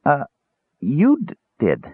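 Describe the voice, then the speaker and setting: A tinny, band-limited recording carries a man playing a small fantasy Kender, high and wildly animated. He is naive, earnest and eager, chattering like an excitable youngster in a storybook. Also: a little fast, slightly rough, no room sound, heavily acted. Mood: nervous, stammering. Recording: narrow tinny radio-like band.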